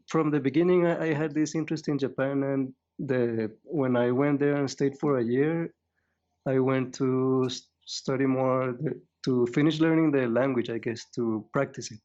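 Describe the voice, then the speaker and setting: Man from Colombia, interview guest, modest, repeats words, mid pitch, dull lo-fi audio. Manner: soft-spoken, modest, repeats words as he goes. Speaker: guest, tea adviser. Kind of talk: casual interview.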